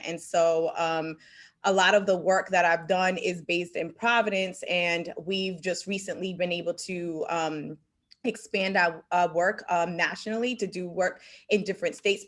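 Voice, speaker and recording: A woman's voice: on the low side, a bit bright. A woman from El Salvador whose first language is Spanish, speaking as a CEO on a video panel, hesitant with many fillers and restarts. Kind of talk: virtual panel discussion. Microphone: video call.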